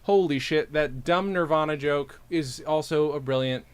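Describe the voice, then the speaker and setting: A man's voice: on the high side, normal speed, clean tone. A man from upstate New York hosting a podcast, chatting casually, going off on tangents and poking fun at himself. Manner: animated, tangent-prone, self-deprecating. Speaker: podcast host.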